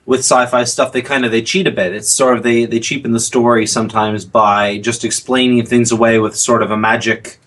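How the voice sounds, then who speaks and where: A man's voice: medium pitch, level tone, brisk, few pauses, fairly bright tone. A man speaking as a guest on a podcast, discussing loosely and casually and restating his points. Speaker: podcast guest, audio series creator.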